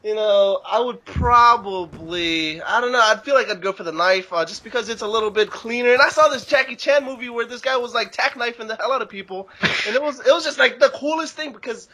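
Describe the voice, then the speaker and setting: A man's voice: very high-pitched, a little fast, sharp tone. A young man talking as a guest gaming commentator in a casual, rambling, slangy way. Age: young adult. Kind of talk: casual chat.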